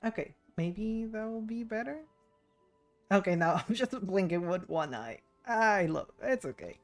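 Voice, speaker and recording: A woman's voice: mid-range voice, animated, measured pace, faint music behind. A woman livestreaming a game, chatting casually and reading dialogue aloud in a playful, giggly manner. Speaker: streamer.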